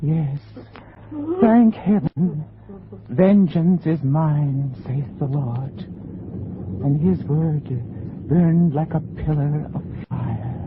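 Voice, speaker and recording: An actor playing a frightened, agitated householder in 1940s radio drama style. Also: male voice, fairly high pitch, extremely slow. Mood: relieved, awed. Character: frightened, agitated householder searching for missing Daisy. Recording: muffled, noisy 1940s radio broadcast.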